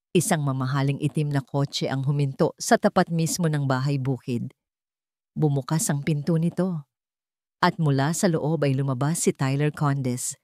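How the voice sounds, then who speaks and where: Filipino woman narrator w low voice, dramatic love story reading, very dry close sound. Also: dark tone, no echo.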